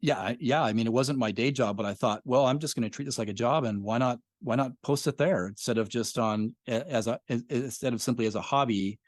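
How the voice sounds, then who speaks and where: A man's voice: mid-range voice, a little fast, hardly any pauses, no echo, clean studio sound. A middle-aged man, a podcast creator, talking casually as a guest, reflective and full of fillers. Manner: reflective, rambling with many fillers. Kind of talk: casual interview conversation.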